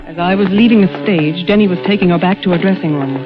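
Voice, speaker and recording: An actress narrating as a scheming young woman stand-in in an old radio drama, in a clipped, measured, suspenseful way. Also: fairly low pitch, lightly acted. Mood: tense. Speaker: stand-in narrating her crime. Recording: dull old radio broadcast with music.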